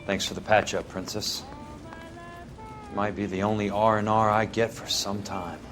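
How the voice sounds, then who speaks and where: An actor playing a tough, sardonic investigator in a 1940s detective game, wry, terse and blunt. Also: male voice, fairly low pitch, steady tone, moderate pace, over music, natural voice. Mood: weary. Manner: wry, terse, hard-boiled.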